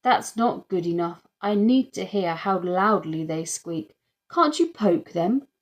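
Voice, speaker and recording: A woman's voice: lowish voice, animated, slow pace. A woman voicing an officious, insistent woman council inspector in a children's audiobook. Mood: demanding, heated. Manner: bossy, insistent.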